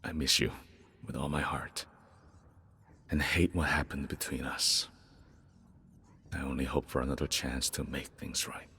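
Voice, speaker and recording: A man's voice: fairly even intonation, measured pace, pauses often, bright, forceful tone, a little hiss or hum, exaggerated voice. An actor playing a weary, regretful father in a video game, reminiscing tenderly about his child in a reflective first-person monologue. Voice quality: gravelly, husky, very deep.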